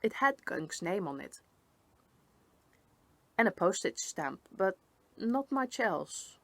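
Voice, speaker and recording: A woman narrating a first-person storybook tale in an expressive read-aloud manner. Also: medium pitch, slow pace, dry recording. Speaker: story reader.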